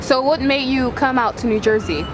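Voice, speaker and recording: A woman's voice: high voice, moderate pace. A woman interviewing someone outdoors, asking plain, direct questions. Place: outdoors by a city street. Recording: traffic noise outdoors. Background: passing cars.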